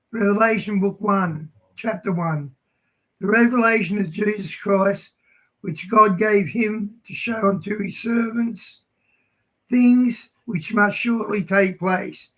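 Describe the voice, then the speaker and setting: Hoarse man stumbling through a reading. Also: high voice, a bit bright, rough recording. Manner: halting, stumbling reading aloud. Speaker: livestream host. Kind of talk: casual livestream talk and Bible reading.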